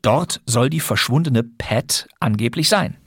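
A man's voice: medium pitch, animated, unhurried, breathy edge, no room sound, studio quality. A man hosting a podcast, presenting classic radio plays from a script in a chatty, wry way. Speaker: podcast host.

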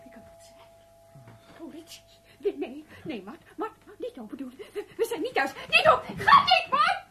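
High-voiced, excitable nagging wife. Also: female voice, normal speed, very noisy, full character voice. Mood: panicked. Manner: chattering, fretful, breaks off sentences. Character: nagging, excitable, superstitious wife of a jobless man. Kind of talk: radio drama acting.

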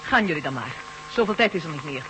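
A woman's voice: low voice, expressive, fast talker, over music. An actress playing a determined woman who resists mind control, speaking earnestly in a radio drama. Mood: resolute. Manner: earnest, urgent, resolute. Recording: humming noisy old radio recording.

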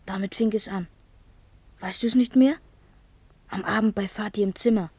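Young village girl, relaxed dark timbre, mid pitch, matter-of-fact secret-sharing, radio play. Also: normal speed, husky, no echo. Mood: secretive, sober. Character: young village girl quietly sharing what she overheard. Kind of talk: German radio play acting.

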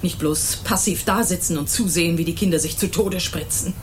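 A woman's voice: lowish voice, fairly quick, lightly acted. A middle-aged woman acting an indignant, self-righteous mother in a German radio crime drama, with a stern, emphatic delivery. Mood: bitter, insistent. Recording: 1980s radio drama with faint noise.

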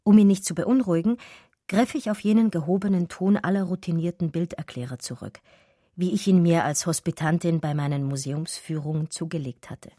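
Low, dry-miked scholarly woman storyteller, acted. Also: mellow, no echo, close to an everyday voice. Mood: measured, scholarly. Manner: measured, eloquent, scholarly. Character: educated German art historian recounting her love story. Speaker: narrator and lead character. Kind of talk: literary radio drama narration.

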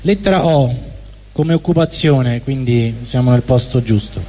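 A man's voice: mid-range voice, unhurried, dark tone. A man giving a dramatic literary reading live on stage. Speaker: stage performer. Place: indoor stage at a live event. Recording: reverberant hall, faint noise.

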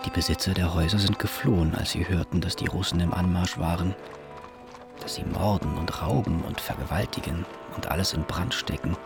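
A man's voice: deep voice, steady tone, a little fast, creaky, music in the background. An actor playing a male war veteran who looks back with wry, understated melancholy in a German radio play. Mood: matter-of-fact recollection.

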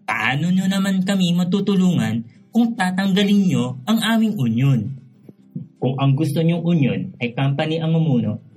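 An actor voices a defiant male union president for radio drama. His fairly high voice rises and falls freely as he speaks up earnestly and respectfully, music faint behind him. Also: expressive, normal speed, a little mellow. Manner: respectful but assertive.

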